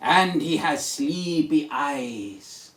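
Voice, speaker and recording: A man's voice: a little high, animated, very slow, a bit bright. A man hosting a children's storytime show, playful and encouraging, with a singsong way of talking. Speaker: children's storytime host and singer. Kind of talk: children's show presenting and singing.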